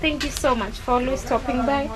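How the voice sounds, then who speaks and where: A woman's voice: high-pitched, steady tone, brisk. Kenyan lady vlogging outside, chatty, lots of you know, noisy background. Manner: chatty, explains with many "you know" fillers. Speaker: vlogger filming a relative's shop. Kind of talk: casual vlog narration. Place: outdoor village kitchen.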